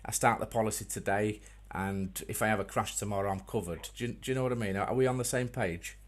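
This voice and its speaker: A man making a prank call as a customer, speaking in a deadpan, mock-earnest way with hesitant, repeated phrases. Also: fairly low pitch, quick pace. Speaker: prank caller.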